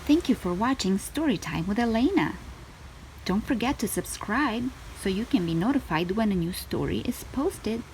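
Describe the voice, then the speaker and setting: A woman hosting a children's storytime, reading a picture book aloud expressively with clearly phrased storytelling. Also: medium pitch, lively intonation, normal speed, darkish tone, slight background noise, low-quality recording. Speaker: children's storytime host and reader.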